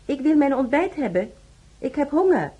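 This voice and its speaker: A woman's voice: high-pitched, big pitch swings, moderate pace. A woman playing a young housemaid, determined and insistent, in a Dutch radio drama. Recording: faintly noisy radio play recording.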